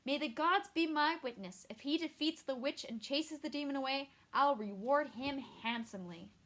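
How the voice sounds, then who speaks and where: A woman voicing a pompous male town mayor who declaims loudly. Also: sits high, normal speed, a little hiss or hum, voice pushed up. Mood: proclaiming.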